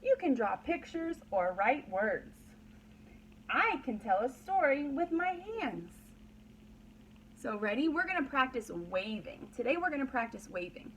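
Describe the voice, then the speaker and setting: A woman's voice: sits high, sing-song, measured pace, fairly bright tone, a little hiss or hum, poor audio. A woman pre-kindergarten teacher leading a read-aloud lesson for young children, using simple, repeated phrases and prompting questions.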